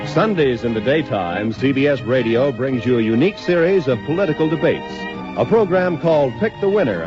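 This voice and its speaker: A man reading scripted 1950s radio announcements in a formal, declarative manner. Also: medium pitch. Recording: dull vintage 1950s radio broadcast. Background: music.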